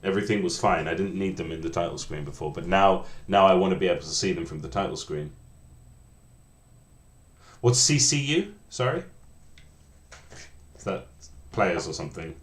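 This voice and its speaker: A man's voice: sits low, animated, moderate pace, pauses often, roomy sound. A man in his late thirties chatting casually while coding on a livestream, rambling and restarting his sentences with a self-deprecating tone. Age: 30s. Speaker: game developer streaming.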